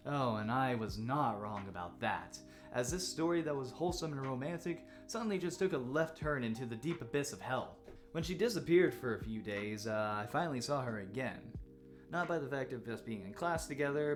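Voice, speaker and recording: Casting: man, lively commentary host with big pitch swings, casual joking storytelling, clear music bed behind. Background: background music with guitar.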